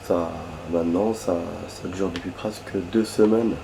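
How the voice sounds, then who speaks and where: A man commenting on a video game while hoarse from a cold, rambling chattily and swearing in surprise at the action. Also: sits low, steady tone, unhurried. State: hoarse from a cold, losing his voice. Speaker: gaming video host. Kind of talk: casual let's-play commentary. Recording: background noise and game sounds.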